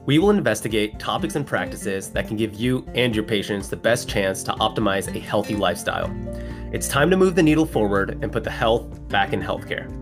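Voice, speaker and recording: A man's voice: fairly low pitch, animated, a little fast. A male co-host reading a rehearsed podcast opening line by line. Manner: smooth, rehearsed delivery. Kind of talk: scripted show opening. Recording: spoken over guitar intro music. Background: guitar music.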